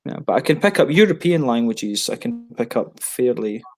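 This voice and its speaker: A man's voice: medium pitch, very animated, moderate pace, a little mellow. A man in his thirties chatting casually on a video call through a headset, rambling with lots of restarts and fillers. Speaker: beer reviewer on a video hangout. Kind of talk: casual chat.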